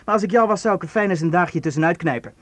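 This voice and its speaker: Children's radio play sidekick male actor: young man, keen schemer, slightly high voice, stammers and coaxes. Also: rapid-fire, fairly bright tone. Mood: coaxing, evasive. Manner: hesitant, stammering, coaxing.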